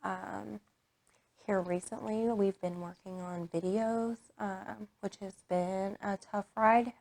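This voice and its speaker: A woman's voice: mid-range voice, steady tone, slow, gravelly, a little mellow. A young woman from Kansas answering interview questions about her volunteer service, candid and earnest, with many "um"s and "like"s. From Kansas, United States. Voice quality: many filler words and "like" restarts. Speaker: AmeriCorps VISTA member.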